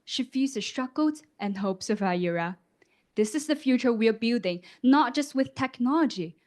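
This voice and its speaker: Young woman giving a rehearsed speech. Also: a little high. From Guangdong, China. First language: Cantonese. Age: young adult. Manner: rehearsed, expressive delivery with rhetorical questions. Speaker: student contestant. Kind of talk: prepared competition speech.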